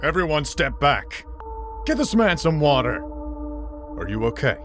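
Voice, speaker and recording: A commanding, grave rig chief. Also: male voice, a little high, sing-song, unhurried. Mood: alarmed, commanding. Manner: authoritative, grave. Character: commanding male oil rig chief briefing his crew. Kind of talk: audio drama acting. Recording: music under the voice.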